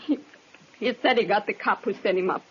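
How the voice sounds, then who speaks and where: An actress playing a defensive, frightened young woman under police questioning in an old radio drama, curt and husky, on a muffled recording. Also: mid-range voice, fairly quick, fairly bright tone, slight background noise, lightly acted. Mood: nervous, sullen. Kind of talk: 1950s radio drama acting.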